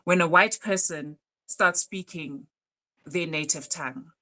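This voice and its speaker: A woman in her thirties with a South African accent, co-hosting a casual podcast chat and telling stories with animated emphasis. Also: lowish voice, a little slow. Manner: animated storytelling with isiZulu words mixed in. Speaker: podcast co-host.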